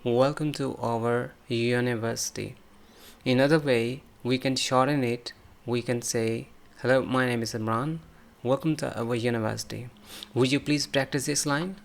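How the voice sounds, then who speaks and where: A man teaching English pronunciation, modelling phrases slowly and then repeating them quickly for his student to copy. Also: medium pitch, steady tone, creaky. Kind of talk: slow drilling of spoken phrases.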